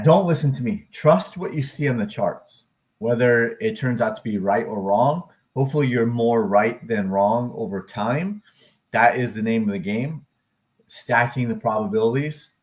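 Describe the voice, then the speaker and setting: A man's voice: lowish voice, normal speed. Rambling, chatty male livestream host. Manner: rambling, chatty, self-deprecating, with asides to the chat. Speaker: crypto and stock chart livestream host. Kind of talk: casual livestream chat.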